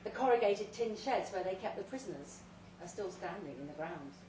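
A woman reads a short story aloud at a live event, delivering it theatrically in measured, deliberate phrases. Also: mid-range voice, animated. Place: pub event room, read aloud live. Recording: roomy, distant live recording with faint noise.

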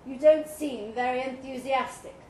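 A keen, sociable man on holiday, as a woman reader puts him on. The delivery is raised in pitch, in an echoey room with noticeable noise. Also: fairly even intonation, moderate pace, a little mellow, recorded from a distance. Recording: echoey room, clearly noisy live recording.

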